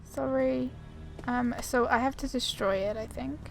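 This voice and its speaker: A woman's voice: high-pitched, fairly even intonation, measured pace, vocal fry, soft background music, low-quality recording. A woman giving casual, rambling, informal commentary while playing a video game. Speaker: gaming video host.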